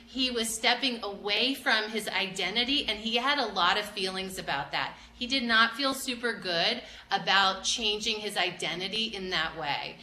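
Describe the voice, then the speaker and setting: A woman's voice: mid-range voice, moderate pace, hardly any pauses, sharp tone. A woman working as an educator, leading an interactive art discussion with children and encouraging them with questions. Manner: encouraging, prompting with questions, repeats answers back. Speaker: museum educator teaching children. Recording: roomy echo, light background noise.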